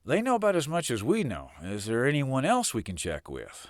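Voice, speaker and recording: An actor in an audio drama playing a guarded commanding boss with a terse, authoritative manner. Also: male voice, medium pitch, wildly swooping pitch, moderate pace, dry recording, clean studio sound. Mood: dismissive.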